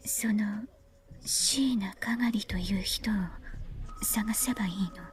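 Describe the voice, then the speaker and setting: An actress playing a taciturn, emotionless young woman in an anime visual novel, speaking in a soft breathy whisper with halting, terse phrases. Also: mid-range voice, slight background noise, lightly acted. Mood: flat, hesitant.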